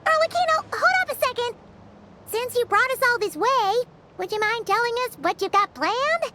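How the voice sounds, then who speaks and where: A woman voicing a tiny, excitable floating fairy companion who talks about herself in the third person, in a video game. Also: very high voice, moderate pace, heavy background noise. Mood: curious.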